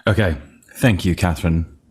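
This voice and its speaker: An actor playing a grave, polite and formal leader of a secretive group in a screenplay table read. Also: male voice, low-pitched, normal speed, a little mellow, husky. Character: grave, formal male leader preparing for the future.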